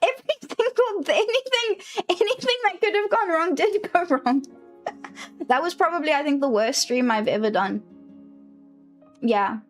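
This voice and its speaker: A high-voiced woman with a South African English accent that leans a little British, chatting casually as a streamer. She rambles, breaks off and restarts her sentences, and laughs often. Soft music plays faintly behind her. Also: lively intonation, moderate pace. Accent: South African English, with a British-leaning lilt. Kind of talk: casual chat while streaming a game.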